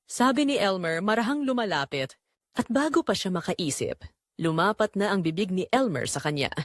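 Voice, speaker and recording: Expressive Filipino woman narrating a drama, dry sound. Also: mid-range voice, normal speed, dry recording. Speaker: storyteller and channel narrator. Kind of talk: scripted dramatic story narration.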